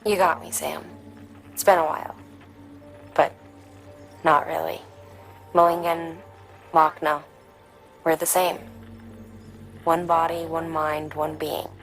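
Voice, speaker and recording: A serious, gentle scientist who talks earnestly and reflectively. Her voice sits a little low, with soft music underneath. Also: little pitch movement, slow, gravelly, long pauses, heavily acted, rough recording. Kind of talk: video game cutscene dialogue.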